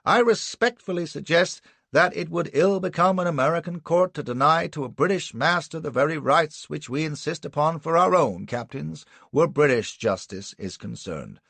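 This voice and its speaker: An indignant defence lawyer in an audiobook, acted by a man. He is pompous and forceful, with formal, blustering delivery. The voice is pushed high and swings widely, with a rough edge. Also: lively intonation, normal speed, few pauses, no room sound, full character voice. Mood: insistent, formal. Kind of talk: audiobook character acting.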